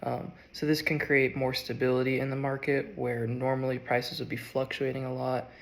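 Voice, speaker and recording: Sick young man with a gravelly voice. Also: medium pitch, flat delivery. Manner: halting, with frequent ums and uhs. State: sick, voice strained. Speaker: student. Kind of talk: class presentation speech.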